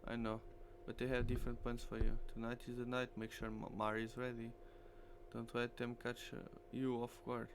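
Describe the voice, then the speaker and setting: A man voicing a calm, ominous samurai android in a visual novel. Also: medium pitch, moderate pace, natural voice. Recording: clearly noisy stream with hum.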